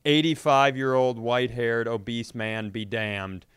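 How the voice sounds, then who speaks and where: Clear-voiced man telling profane comic stories. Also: medium pitch, fairly even intonation, unhurried, a bit bright, no echo, clean studio sound. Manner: profane, exasperated storytelling. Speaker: comedy podcast host. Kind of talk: casual comedic chat.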